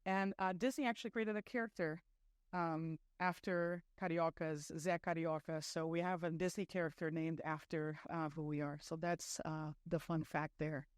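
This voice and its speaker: A woman's voice: low voice, steady tone, normal speed, clean tone, no room sound, clean studio sound. A middle-aged woman from Brazil giving a chatty conference talk, full of fillers and self-deprecating asides. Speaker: conference speaker.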